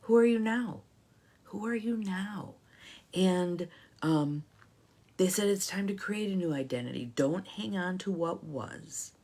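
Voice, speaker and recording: A woman's voice: fairly low pitch, animated, a little slow, mellow. A middle-aged woman life coach hosting a live talk, reflective and encouraging, greeting viewers by name.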